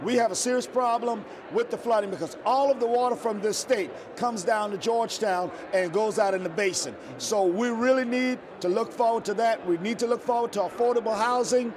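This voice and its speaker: Male state rep in informal interview, pretty high voice, noisy lobby behind. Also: brisk, few pauses. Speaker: state representative. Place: large indoor statehouse lobby. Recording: noisy public lobby ambience.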